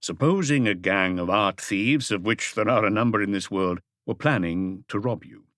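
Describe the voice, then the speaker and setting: A male narrator plays a patient, methodical detective in an audiobook mystery. The voice is on the low side, formal and deliberate, with a probing firmness. It sounds dry and close. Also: fairly bright tone, dry recording, natural voice. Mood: measured, firm. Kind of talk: audiobook character dialogue.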